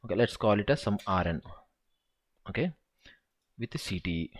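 Low-voiced man thinking aloud over code. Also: lively intonation, moderate pace. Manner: thinking aloud, counting step by step. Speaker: SQL tutor. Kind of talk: screen-recorded coding walkthrough.

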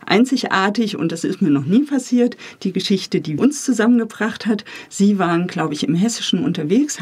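Husky-voiced middle-aged woman in conversation. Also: lowish voice, darkish tone. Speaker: interview guest, radio drama editor and director. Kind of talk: interview talk about radio drama work. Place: recording studio.

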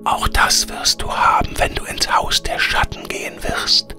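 A man voicing a kind male air spirit in a soft, hushed whisper. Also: measured pace, soft background music. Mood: gentle.

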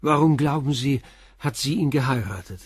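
Probing, composed police commissioner. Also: male voice, mid-range voice, lively intonation, breathy edge, no room sound, studio quality. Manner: probing, measured questioning. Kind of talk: German crime radio play dialogue.

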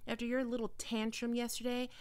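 A woman's voice: on the high side, completely flat delivery, a little fast, clean tone, no room sound, close to an everyday voice. A woman playing a prickly, flustered young vampire cat-girl in an audio roleplay, sarcastic and defensive. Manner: sarcastic, defensive, sighing asides. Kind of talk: ASMR roleplay monologue.

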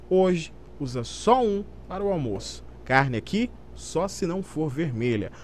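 A Brazilian man narrates a news report in a fairly high voice. His intonation is very lively and varied. Faint ambient noise sits behind the scripted delivery.

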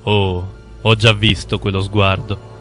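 An actor playing a brooding, heroic warrior who narrates a mock epic in slow, portentous phrases. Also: male voice, on the low side, level tone, fairly bright tone. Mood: grim, proud. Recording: music under the voice.

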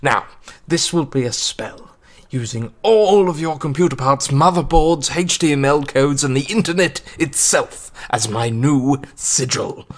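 A man's voice: medium pitch, expressive, unhurried, few pauses, lightly acted. Man acting a grandiose self-important occult magician. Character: theatrical, self-important male occult magician and paranormal investigator.

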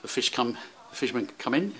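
A man's voice: medium pitch, big pitch swings, fast, sharp tone, slight background noise. Rambling man filming a hotel tour. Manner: rambling, many fillers and restarts. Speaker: travel vlogger. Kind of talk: handheld walking tour narration. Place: hotel rooms and seaside street. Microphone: handheld camera.

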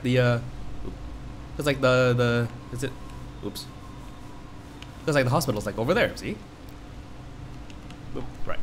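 A man with a stuffed-up nose from a cold rambles through casual gameplay commentary, reacting to the screen as he plays. His pitch jumps around a lot, and a faint hiss sits under the voice. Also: a bit bright. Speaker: let's play host.

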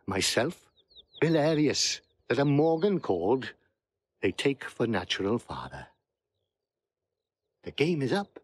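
A man's voice: medium pitch, sing-song, slow pace, pauses often. An actor playing a proud, aggrieved older banished lord and foster father in declamatory classical Shakespearean audio drama. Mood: aggrieved, stirring.

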